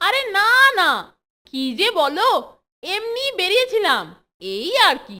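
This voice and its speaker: Woman doing a very high-pitched little schoolboy sidekick. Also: very animated, unhurried, sharp tone, heavily acted. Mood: hesitant. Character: timid, agreeable small schoolboy sidekick. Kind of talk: Bengali children's cartoon dialogue.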